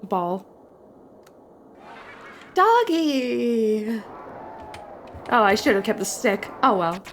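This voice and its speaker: Young woman voicing a game, unhurried. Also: on the high side, a little hiss or hum. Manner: expressive, reacts out loud. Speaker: fan voice actor. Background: faint game music.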